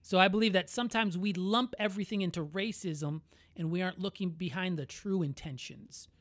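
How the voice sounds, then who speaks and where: A man with an American accent co-hosting a podcast, talking in a candid, reflective and measured way. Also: high-pitched, a bit bright, dry recording. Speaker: podcast co-host. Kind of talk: casual conversational podcast.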